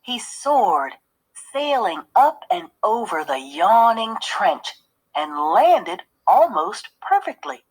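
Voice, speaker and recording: Woman reading a kids story out loud, slow, voice goes up and down loads. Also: mid-range voice, sing-song, husky, low-quality recording. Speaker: storybook reader. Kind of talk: expressive children's read-aloud narration.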